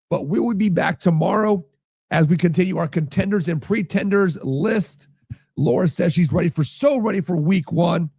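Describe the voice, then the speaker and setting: Hoarse sick man talking football. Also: fairly high pitch, darkish tone, no echo. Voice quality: hoarse, gravelly. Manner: rambling, repeats himself, lots of fillers. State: losing his voice, sick. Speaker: podcast host. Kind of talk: casual sports talk reading live chat.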